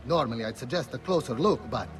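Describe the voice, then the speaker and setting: Venetian gentleman guide, a man acting, lively swooping delivery, Italian accent, formal and showy, light game music underneath. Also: medium pitch, quick pace, natural voice. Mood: explanatory, disdainful.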